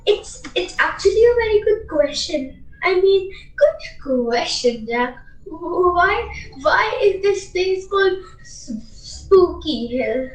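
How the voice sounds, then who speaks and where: A woman's voice: very high voice, very animated, slow pace, heavily acted. A woman playing a frightened, polite young girl in a radio play. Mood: nervous. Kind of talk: amateur radio play acting. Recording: roomy echo, far from mic.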